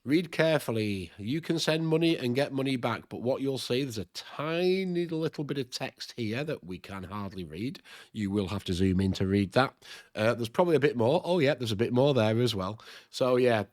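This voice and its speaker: A man with a cold, his voice nasal and stuffed-up. He talks a casual game tutorial in a chatty, plain-spoken way at a middle pitch, thinking aloud as he goes. The recording is dry and close to the mic. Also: expressive, normal speed, dry recording. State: congested with a cold.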